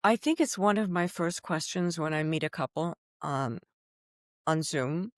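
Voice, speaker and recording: A woman's voice: deep voice, measured pace, no room sound, clean studio sound. A middle-aged woman from Belgium, chatting expressively as a podcast guest. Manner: expressive, chatty, restarts a lot. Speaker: wedding officiant guest. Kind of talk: casual interview chat.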